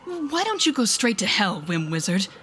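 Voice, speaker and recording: A woman playing a telepathic supervillain in an audio roleplay, a bitter but protective mentor with a sardonic, deliberate manner. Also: mid-range voice, sing-song, a bit bright, a little hiss or hum. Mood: bitter, accusing. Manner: sardonic, deliberate, shifting to soothing whispers. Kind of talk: dramatic audio roleplay acting.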